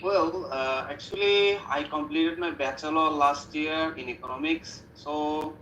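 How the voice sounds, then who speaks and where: A man's voice: on the high side, fairly even intonation, unhurried, pushed and bright, muffled recording, slight background noise. A young man in his twenties with a Bangladeshi English accent, answering practice questions hesitantly and at length. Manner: hesitant, long searching answers. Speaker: practice student. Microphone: video call. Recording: echoey room on a call.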